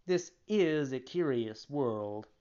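A reader voicing a patient, kindly old father in a storybook, mildly and slowly admonishing a child. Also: mid-range voice, lively intonation, dark tone, highs cut off. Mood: gently moralizing. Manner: slow, mild, fatherly admonishing.

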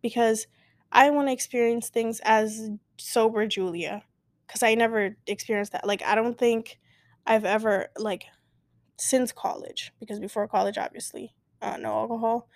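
A young woman in her twenties talks through her own podcast, rambling and cutting herself off mid-thought. Her voice sits a little high. The sound is dry and close, with no room in it. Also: steady tone, normal speed, dry recording. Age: 20s. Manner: rambling, filler-heavy, self-interrupting. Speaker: podcast host. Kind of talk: casual solo podcast chat. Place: at home, late at night.

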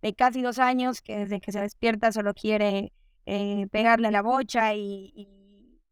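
A woman's voice: medium pitch, flat delivery, measured pace, smooth, dry recording, studio quality. A young adult woman co-hosting a podcast, telling stories in a cheerful, chatty way. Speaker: podcast co-host. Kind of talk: casual chat.

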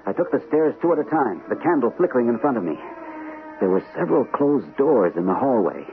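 A man's voice: medium pitch, big pitch swings, fairly quick, music in the background. An actor playing a haunted army captain narrating his ordeal with suspense in a vintage radio drama. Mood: tense suspense. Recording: narrow vintage radio recording.

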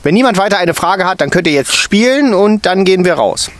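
Stern, shrill kindergarten teacher, bossy and cold, played by a man, in a high, lively voice with some grit. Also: brisk, dry recording. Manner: commanding, scolding.

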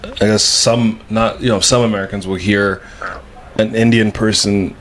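A man's voice: on the low side, level tone, normal speed, fairly bright tone, low-quality recording. A man chatting casually as a guest comedian, explaining his views earnestly with many restarts. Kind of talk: casual chat.

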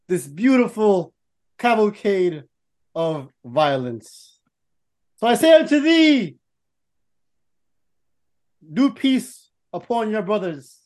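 A man's voice: sits high, a little mellow, full character voice. A man putting on the voice of a mock preacher, addressing a congregation in a solemn, sweeping pulpit cadence.

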